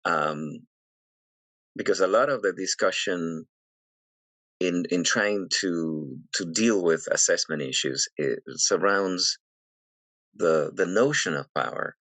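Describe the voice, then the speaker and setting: A man with a very low, deep voice hosts an academic podcast interview. He talks thoughtfully and restarts often. The recording is dry and close. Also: slow pace, long pauses, fairly bright tone, dry recording, poor audio. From Venezuela. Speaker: podcast host.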